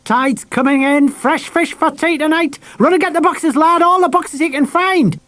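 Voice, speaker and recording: Man putting on an eccentric, raised-pitch beachcomber. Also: moderate pace. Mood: excited shouting. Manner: exclamatory, shouting when excited. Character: eccentric beachcombing man, excitable, blunt, fatherly loner. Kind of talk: audiobook character acting. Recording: audiobook transferred from cassette tape.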